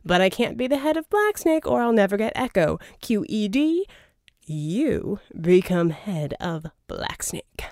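A flamboyant male audiobook villain, acted by a woman, smug and slightly unhinged. The delivery is loud and theatrical, leaping around in pitch. Every phrase is pompous and coaxing, in a dry, close recording. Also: very animated, a little slow, dry recording. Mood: impatient, scheming. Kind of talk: audiobook character dialogue.

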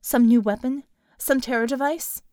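A woman's voice: sits high, fairly even intonation, moderate pace, dark tone, no echo, studio quality. A woman narrating a scripted dramatic action story as an audiobook reader.

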